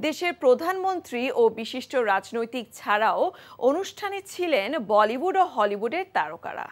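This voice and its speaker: A high, animated woman's voice with a Bangladeshi Bengali accent reads a TV segment with lots of rise and fall. Faint music sits under her. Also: normal speed. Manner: lively, bright read with a sign-off. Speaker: TV program presenter. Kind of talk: scripted TV presenting.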